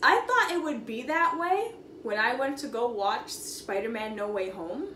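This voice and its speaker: A young woman with a high voice tells a casual vlog story in an echoey room with some background noise. Her delivery is expressive and slangy, leaning on dramatic emphasis and repeated phrases. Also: unhurried. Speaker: storytime video host.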